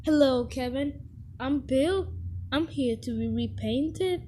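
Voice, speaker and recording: A cheeky young tank engine speaks in a high, boyish voice at an unhurried, drawn-out pace. The character is playful and excitable, straight out of a children's cartoon, with faint noise behind the clips. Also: female voice. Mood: sly, teasing. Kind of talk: animated children's series character acting.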